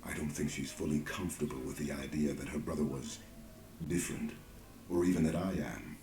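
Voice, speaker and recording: Devoted soldier, extremely deep voice, earnest, solemn, game dialogue, echoey room. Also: male voice, a little fast, darkish tone. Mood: gentle, reflective. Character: loyal, heartfelt soldier companion, grown man with deep voice. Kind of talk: dramatic video game voice acting.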